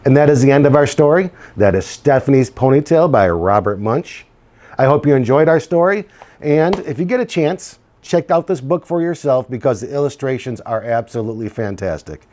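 A middle-aged man reads a picture book aloud to children. His voice sits at an average pitch, and he tells the story deliberately, leaving dramatic pauses. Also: expressive, a little mellow. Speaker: school administrator reading aloud. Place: small indoor office. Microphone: lapel mic.

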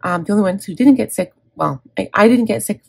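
Woman, middle-aged planner vlogger, gravelly and husky throat, candid rambling chat with self-corrections. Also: mid-range voice, lively intonation, a little fast, darkish tone, no room sound. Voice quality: gravelly, scratchy. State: scratchy, gravelly throat from inhaler. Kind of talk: casual plan-with-me chat. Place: indoors at a desk.

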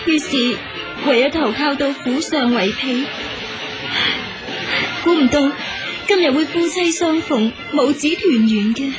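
An actress playing a poor, dutiful wife turned maid in a radio drama, deferential and plaintive. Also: female voice, high-pitched, measured pace, long pauses. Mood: plaintive, grateful. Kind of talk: Cantonese radio drama acting. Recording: music behind the dialogue.

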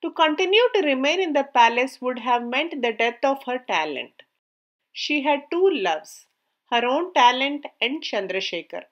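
A woman narrates a scripted story in a high-pitched voice. She reads aloud with care, keeping a measured storyteller's delivery throughout. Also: sing-song, muffled recording.

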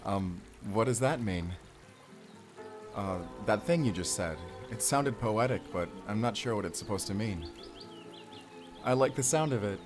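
A tender, affectionate partner and father in an audio roleplay, acted by a man. He speaks softly and slowly, sometimes hesitant, with faint music behind him. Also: on the low side, animated. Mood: fond, relaxed. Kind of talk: intimate audio roleplay acting.

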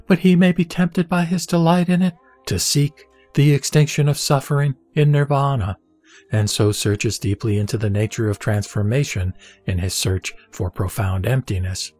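A man reading a Buddhist scripture aloud in a slow, measured recitation. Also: mid-range voice, no room sound. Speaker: scripture reader. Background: soft background music.

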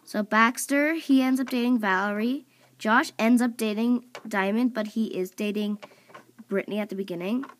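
A young woman with a somewhat high voice chatting casually and rambling as she introduces her toy cast. She fills her talk with ums and likes, recorded on a phone at home. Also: moderate pace. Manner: rambling, filler-heavy, scolds her dog off-mic. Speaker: video creator. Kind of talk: casual chat. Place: home room at a table of toys.

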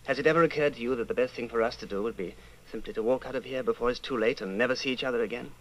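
An actor playing an earnest, fatalistic secret rebel in an old-time radio drama. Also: male voice, mid-range voice, racing, a little hiss or hum, lightly acted, rough recording. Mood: intense, fatalistic.

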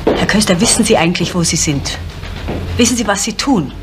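A woman's voice: mid-range voice, animated, fairly quick, over music, close to an everyday voice. Indignant, guarded middle-aged woman, played by an actress. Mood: indignant, reproachful. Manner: formal, reproachful. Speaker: actress in a crime radio play. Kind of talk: 1970s German radio drama acting. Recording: faintly noisy 1970s radio recording.

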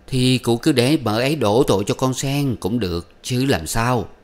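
A man's voice: medium pitch, normal speed, voice pushed up, natural voice. A man voicing an older woman who placates and soothes. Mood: coaxing.

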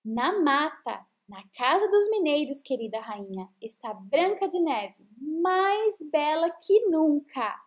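A woman voicing a solemn magic mirror that makes grave, drawn-out pronouncements. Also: sits high, very animated, heavily acted.

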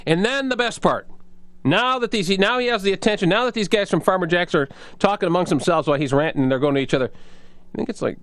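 Sarcastic talk radio guy, kinda high voice, dry studio sound, mocking commentary. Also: fast, fairly bright tone, no echo.

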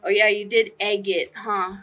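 A woman's voice: mid-range voice, level tone, moderate pace, dull audio, faint music behind. A woman chatting casually and teasing her partner with questions while they work on plumbing at home. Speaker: vlogger helping with a fish room plumbing job. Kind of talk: casual chat while working.

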